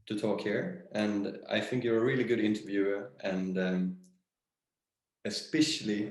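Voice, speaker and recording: A man speaking casually as a guest in an interview, recorded with a roomy echo. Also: fairly low pitch. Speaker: interview guest, company founder. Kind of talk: casual conversation. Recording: roomy, echoing sound.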